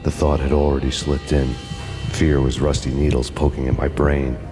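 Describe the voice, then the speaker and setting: An actor narrating as a brooding ex-cop in dry, bitter hard-boiled noir style. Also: male voice, a bass voice, flat delivery, moderate pace. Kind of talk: scripted noir voice-over. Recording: with loud game music.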